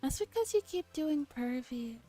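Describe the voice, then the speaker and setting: Female game streamer, relaxed mellow tone, playful dialogue read-aloud for ASMR, faint music behind. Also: high voice, moderate pace. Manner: playful, acts out dialogue while reading. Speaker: streamer reading a visual novel aloud.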